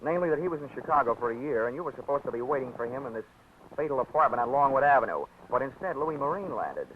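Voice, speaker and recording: A man's voice: mid-range voice, lively intonation, fast talker, close to an everyday voice. An actor playing a hard-boiled male insurance investigator who talks in dry, easygoing wisecracks. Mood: wisecracking. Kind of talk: 1940s radio acting. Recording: tinny 1949 radio broadcast with faint hiss.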